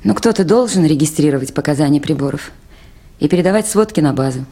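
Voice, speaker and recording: A woman's voice: sits low, lively intonation, fast talker, mellow. An actress playing a composed, dutiful young woman river-station worker in a radio play. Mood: calm, firm. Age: young adult. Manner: composed, earnest. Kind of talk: 1980s Soviet radio play acting.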